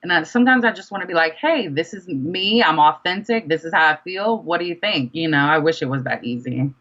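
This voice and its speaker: Young woman, muffled recording, low-pitched voice, interview guest, informal and expressive. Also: brisk. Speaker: guest rapper and songwriter being interviewed. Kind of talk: casual interview chat.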